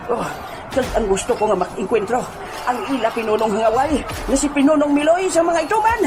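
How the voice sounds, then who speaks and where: High-pitched excitable sword-fighting leader played by a woman. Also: a little fast, a crowd in the background, background noise, natural voice. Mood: rallying, alarmed. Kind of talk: comedic audio drama acting. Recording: loud music bed behind voice.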